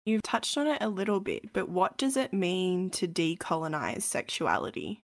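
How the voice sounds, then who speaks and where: A woman's voice: lowish voice, animated, normal speed, gravelly, no room sound, studio quality. A woman co-hosting a podcast in casual, chatty, self-mocking talk, laughing often and nursing a cold. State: has a cold. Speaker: podcast co-host. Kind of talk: casual chat.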